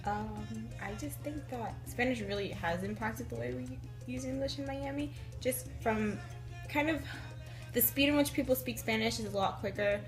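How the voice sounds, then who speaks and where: A woman's voice: on the high side, moderate pace, music in the background. A woman giving a thoughtful, casual interview answer, correcting herself as she goes and saying "like" often. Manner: thoughtful, self-correcting, filler like.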